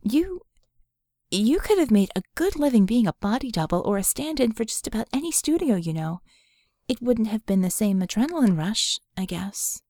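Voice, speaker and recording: A woman voicing an earnest, curious and skeptical female mage in an audiobook, speaking in a halting, candid, conversational way. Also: on the high side, big pitch swings, dark tone, no room sound, studio quality, pitched above the performer's own voice. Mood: thoughtful, sympathetic.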